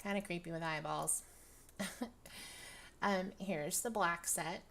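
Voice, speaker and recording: A young woman with a dry, raspy voice, chatting casually through a product review with lots of ums and restarts. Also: fairly low pitch, fairly even intonation, slow, a bit bright. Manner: rambling, many ums, laughs often. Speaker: virtual-world fashion vlogger.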